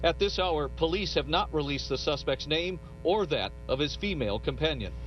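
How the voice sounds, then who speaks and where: A male news reporter in the field gives a live scripted report. His voice sits a bit high, the sound is slightly dull, and music plays clearly behind him. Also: expressive, moderate pace.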